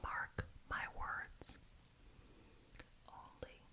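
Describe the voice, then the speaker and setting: Whispering woman in a witch ASMR roleplay. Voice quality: breathy unvoiced whisper. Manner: deliberate, repeats words for emphasis. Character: genteel, officious witch chairing a neighborhood meeting.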